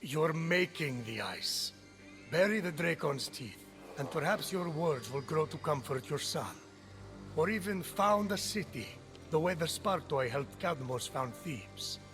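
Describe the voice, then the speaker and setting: Solemn storyteller of myths over music. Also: male voice, fairly high pitch, expressive. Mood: dry reproach, then grand storytelling. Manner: measured, solemn, dry. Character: wise, patient, dryly reproachful male storyteller of myths. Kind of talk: scripted video game narration.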